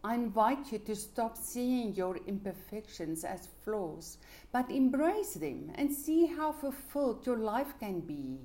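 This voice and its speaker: A middle-aged woman whose first language is Afrikaans, giving an earnest, measured motivational talk about her own life. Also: on the high side, lively intonation, mellow. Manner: earnest, measured, with small restarts.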